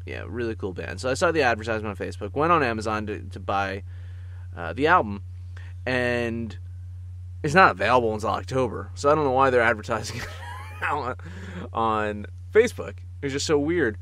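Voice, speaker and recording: A man's voice: medium pitch, animated, normal speed, fairly bright tone, no echo. Casual, chuckling man on a close mic. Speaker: podcast co-host. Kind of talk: casual comic chat.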